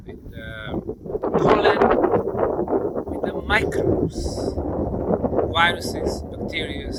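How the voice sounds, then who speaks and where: Higher-pitched, very animated man, windy recording. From Bosnia. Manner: deliberate, emphatic, short separated phrases. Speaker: presenter and field researcher. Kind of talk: explanatory on-location presentation. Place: outdoors in an open field. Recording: outdoor recording with loud wind noise.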